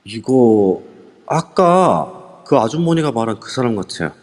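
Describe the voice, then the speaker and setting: A man's voice: medium pitch, big pitch swings, a little slow, darkish tone. A man playing a jumpy young man in a ghost-hunting group, urgent and tense. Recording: long reverb over a voice app.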